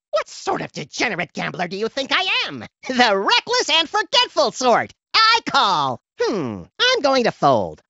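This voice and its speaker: Game role: gleefully violent little rabbit creature, theatrical and flippant, wildly swooping delivery, dry slightly dull recording. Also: male voice, very high voice, dry recording, full character voice. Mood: flippant, wry. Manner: flippant, theatrical, deadpan absurd menace. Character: manic, violent, gleeful cartoon rabbit-like creature, male, small. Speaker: video game character. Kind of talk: comedic video game voice acting.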